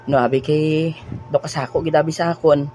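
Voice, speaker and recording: A man playing a friendly young massage trainer in an amateur audio drama, cheerful and quick to laugh. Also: a little high, steady tone, mellow, soft background music, natural voice.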